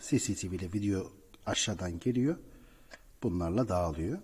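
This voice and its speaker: A man with a fairly low voice gives an informal on-site repair walkthrough. He explains things directly to the viewer, like a technician showing his work. The sound has long hallway reverb and clear background noise. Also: moderate pace, darkish tone. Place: indoors, apartment building hallway by cable shafts.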